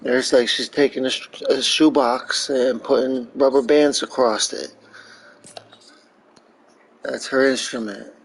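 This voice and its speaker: A man's voice: mid-range voice, steady tone, slow pace, vocal fry, long pauses, a little hiss or hum, poor audio. A man chatting casually over a video game, swearing freely and rambling through crude jokes. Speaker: streamer reacting to a video game. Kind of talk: casual profane gameplay chat.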